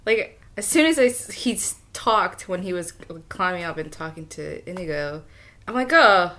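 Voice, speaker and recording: A woman's voice: on the low side, expressive, normal speed, a bit bright. A woman chatting casually as a podcast co-host, giggly and quoting her own reactions. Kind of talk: casual chat.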